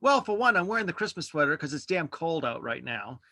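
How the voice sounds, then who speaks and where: A man talking casually as a podcast host being interviewed in a relaxed conversational exchange. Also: fairly high pitch, a little fast, no echo, clean studio sound. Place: indoors at home, on a video call.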